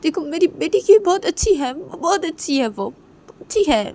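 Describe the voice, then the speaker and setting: A woman's voice: very high voice, lively intonation, warm tone, slight background noise, pitched above the performer's own voice. A woman voicing an anxious middle-aged mother who pleads tearfully and repeats her entreaties. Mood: anxious, pleading. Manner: repetitive, imploring, drawn-out pleas.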